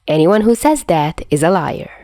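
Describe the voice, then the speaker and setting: A woman's voice: fairly low pitch, big pitch swings, moderate pace, darkish tone, dry recording. A woman reading scripted fiction expressively as an amateur audio narrator on a close home microphone. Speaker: podfic reader.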